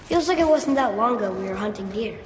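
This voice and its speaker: Game role: wide-eyed warrior's son, high boyish voice, earnest, long reverberant tail on the sound. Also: male voice, animated, quick pace. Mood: proud, elated. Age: child. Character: eager young boy, warrior's son, earnest and proud. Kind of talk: video game cutscene acting. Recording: echoey reverberant space, faint noise.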